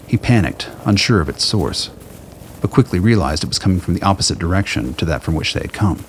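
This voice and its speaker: A man with a deep, low voice narrates a scripted radio play in dramatic prose. Ambient effects and some noise sit behind his voice. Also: expressive, brisk.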